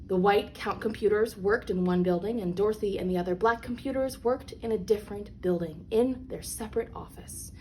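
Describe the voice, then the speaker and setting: A woman reads a children's picture book aloud as a storyteller, with deliberate, emphatic phrasing for young listeners. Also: medium pitch, mellow. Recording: clearly noisy background.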